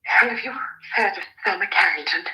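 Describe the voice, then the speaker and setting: An actress playing a sensible, anxious young British farmer's daughter in a radio drama, brisk and earnest. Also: female voice, mid-range voice, bright, forceful tone, poor audio. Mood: urgent, suspicious.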